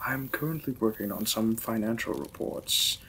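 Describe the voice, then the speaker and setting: A man playing a gentle, apologetic Victorian vampire lord in a romantic audio roleplay, speaking formally and tenderly as a courteous new husband. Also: on the low side, normal speed, gravelly, a little hiss or hum, poor audio. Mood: courteous, warm.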